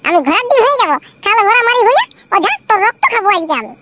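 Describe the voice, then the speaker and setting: Very shrill, stubborn girl ghost. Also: big pitch swings, very fast, very noisy. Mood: threatening. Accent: Purulia Bengali. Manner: insistent, repetitive, threatening. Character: stubborn bloodthirsty girl ghost who demands to dance. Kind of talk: comic cartoon voice acting. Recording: loud music behind the voice.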